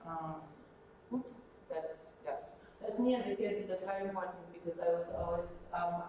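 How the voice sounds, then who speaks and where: A woman whose first language is Greek gives a colloquium talk in a university lecture hall, halting with many um fillers. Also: lowish voice, steady tone. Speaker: guest lecturer. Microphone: distant room camera. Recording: echoey hall, far from mic, noisy.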